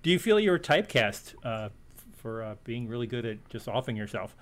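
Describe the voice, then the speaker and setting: Halting male interviewer. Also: medium pitch, very animated. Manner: halting, many fillers and restarts, laughs often. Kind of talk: casual interview.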